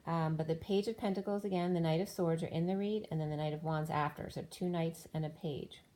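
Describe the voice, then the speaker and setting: A woman's voice: sits low, fairly even intonation, fairly quick, warm tone, low-quality recording. A woman giving a solo spiritual tarot reading, explaining cards and numbers one by one while losing her voice. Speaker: tarot reader.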